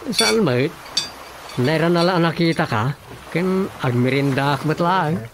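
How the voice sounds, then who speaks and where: A man's voice: a little high, animated, unhurried, noisy background. An actor with an Ilocano accent playing a chatty, curious townsman in a radio drama. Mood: friendly.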